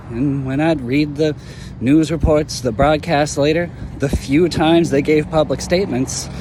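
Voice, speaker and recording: Brief: young man as earnest, halting young ex-hero, fairly high voice, audio drama, noisy outdoor take with traffic. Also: lightly acted. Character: young male former hero, earnest and reflective.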